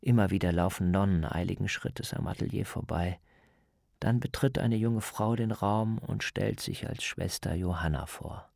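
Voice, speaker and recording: A man's voice: low-pitched, moderate pace, vocal fry, a little mellow, no echo, studio quality. A man reporting and narrating a scripted radio documentary in the first person.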